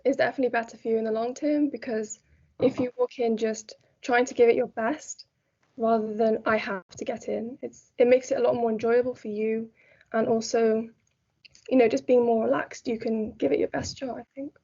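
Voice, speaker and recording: A young woman talking calmly and reassuringly in a casual group chat. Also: a little high, moderate pace, vocal fry, slightly rough. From South Wales. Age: young adult. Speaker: student guest. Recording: dull lo-fi audio.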